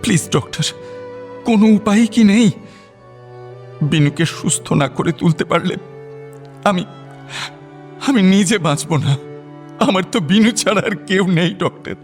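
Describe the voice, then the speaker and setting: Music plays under a distraught husband in a radio drama. His voice sits fairly high for a man, and he pleads in halting, repeated phrases, anxious and full of grief. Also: expressive. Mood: desperate. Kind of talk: Bengali radio drama acting.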